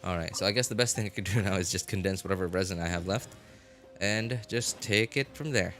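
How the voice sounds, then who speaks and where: A man streaming a game live, chatting casually and haltingly as he thinks aloud. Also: sits low, a bit bright. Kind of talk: casual rambling livestream chat. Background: music.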